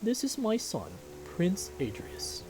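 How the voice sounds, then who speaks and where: A man voicing a stately furry animal king who is fatherly, calm but stern, with formal, measured, commanding speech. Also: high-pitched, music in the background, pitched above the performer's own voice, full character voice. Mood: welcoming.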